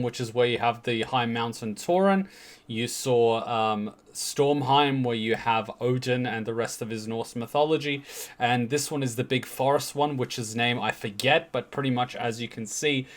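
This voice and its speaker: A man talks casually and enthusiastically in a mid-range voice, explaining fantasy lore while he reacts. He rambles a little, with fillers and quick self-corrections. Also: mid-range voice. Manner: chatty and enthusiastic, with fillers and restarts. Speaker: reaction video host. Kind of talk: casual commentary over game cinematics.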